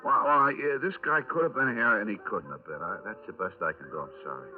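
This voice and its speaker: A man's voice: fairly low pitch, fast talker, lightly acted. An actor playing an eager, apologetic male witness, stammering and flustered, in a 1950s radio crime drama. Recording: restored 1950s radio, narrow band, music behind.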